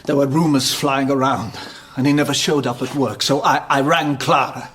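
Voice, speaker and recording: An actor playing a wry, anxious family man with a husky voice in a naturalistic radio drama. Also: medium pitch, fairly even intonation, moderate pace, fairly bright tone, a little hiss or hum, lightly acted. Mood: worried. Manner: wry, grumbling, conversational. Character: wry, anxious father, a hospital anaesthetist.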